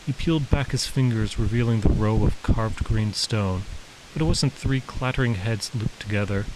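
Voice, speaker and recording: A man reading his own story as an author, in measured, descriptive scripted narration. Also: fairly low pitch. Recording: hissy background noise.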